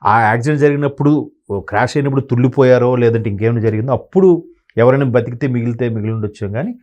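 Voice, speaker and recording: A man speaking conversational commentary as an analyst guest, hesitant and often pausing to search for words. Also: mid-range voice, darkish tone. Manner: hesitant, many fillers and word-searching pauses.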